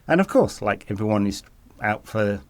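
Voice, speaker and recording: A man co-hosting a football podcast, chatting casually with a slight cold that makes him a little raspy, often rambling and correcting himself. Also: on the low side, big pitch swings, normal speed, dry recording. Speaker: podcast co-host.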